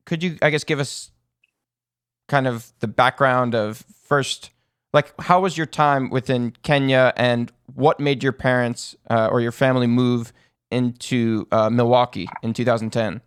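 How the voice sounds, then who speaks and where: A male podcast host asks questions in a relaxed, loose way. His voice is smooth and clear at a middle pitch, recorded dry. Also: measured pace, no echo. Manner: relaxed, filler-led questions. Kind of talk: casual interview.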